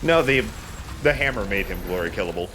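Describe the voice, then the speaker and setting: A man hosting a let's play, giving casual, expressive commentary as he plays a shooter. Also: fairly low pitch, brisk. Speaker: let's play host, playing a shooter game. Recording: noticeable background noise.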